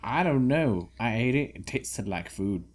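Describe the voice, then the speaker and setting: A man's voice: mid-range voice, lively intonation, a little slow. A young man co-hosting a casual pool game video, teasing in a mock-formal manner. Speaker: gamer co-host. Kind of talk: casual gaming chat.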